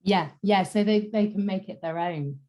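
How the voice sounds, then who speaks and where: Croaky-voiced woman coaching in an interview. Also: mid-range voice, moderate pace. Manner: thoughtful, fillers and trailing soft endings. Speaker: guest, communication coach. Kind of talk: conversational interview.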